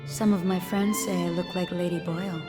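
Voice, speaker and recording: An actress playing a coy, obliging courtesan in a video game cutscene, seductive and servile in manner. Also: female voice, lowish voice, level tone, a little fast, echoey room, in a large hall, over loud music, close to an everyday voice. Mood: flirtatious. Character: coy, servile courtesan woman humoring a client.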